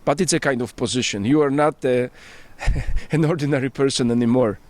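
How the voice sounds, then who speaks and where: Middle-aged Polish priest, earnest and reflective, mid-pitched, working through his English outdoors. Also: a little fast, darkish tone, no room sound. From Poland. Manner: earnest, thoughtful, searching for English words. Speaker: priest and bishop-elect, interviewee. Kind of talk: casual interview. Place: rooftop outdoors.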